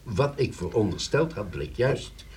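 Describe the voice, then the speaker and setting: Older male professor for radio play: dignified, vain, husky, with very varied intonation. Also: on the low side, sing-song, a little slow, darkish tone, exaggerated voice. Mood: measured recounting. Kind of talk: radio drama acting.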